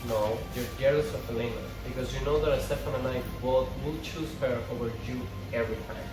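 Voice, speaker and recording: A man's voice: medium pitch, steady tone, a little fast, natural voice. A man reading the parts of earnest or taunting men in a supernatural TV drama at an amateur table read. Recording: echoey room, distant mic, music behind.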